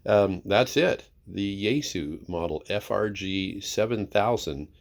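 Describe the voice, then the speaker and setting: A man's voice: lowish voice, animated, slow pace, creaky. A man giving a casual, unscripted demonstration as a presenter, in an easygoing, somewhat hesitant way. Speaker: presenter demonstrating a vintage radio receiver.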